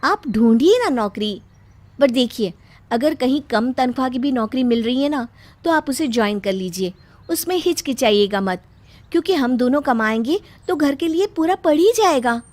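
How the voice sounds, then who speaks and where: A woman's voice: sits high, expressive, moderate pace, darkish tone, no room sound. A woman voicing a young daughter-in-law in a cartoon story, pleading and earnest. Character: young wife, frustrated but caring daughter-in-law.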